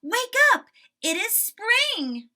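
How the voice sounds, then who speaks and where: Eager male storybook frog, played by a woman: very high, loud, bright calls, excited and cheerful. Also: drawn-out words, heavily acted. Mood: excited, calling out. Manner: calling out excitedly. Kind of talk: children's storybook read-aloud.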